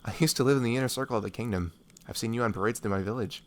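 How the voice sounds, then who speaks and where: A man voicing a laid-back, blunt but kindly woodsman, casual and unhurried, in a fantasy audio roleplay. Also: medium pitch, slight background noise, natural voice. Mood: matter-of-fact. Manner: casual, unhurried, matter-of-fact.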